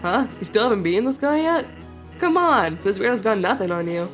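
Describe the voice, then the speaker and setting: A woman's voice: medium pitch, expressive, brisk, faint music behind, natural voice. A woman voicing a laid-back, teasing skeleton man with an offhand way of talking.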